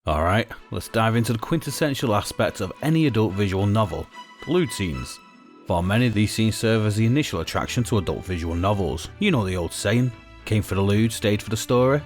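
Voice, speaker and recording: A man's voice: fairly low pitch, animated, a little fast, faint music behind. A man reading scripted narration in a grandiose tone, breaking it with crude jokes.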